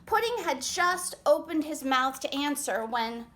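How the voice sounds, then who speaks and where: A woman reading a picture book aloud as storytime narration, expressively and with dramatic pauses. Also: sits high, expressive, pushed and bright, a little hiss or hum. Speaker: children's author reading aloud.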